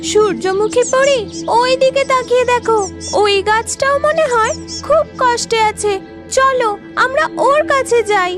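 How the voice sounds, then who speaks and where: A woman's voice: extremely high pitch, measured pace, over loud music. A woman voicing an eager, kind little flower fairy girl in a children's cartoon. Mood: eager, concerned.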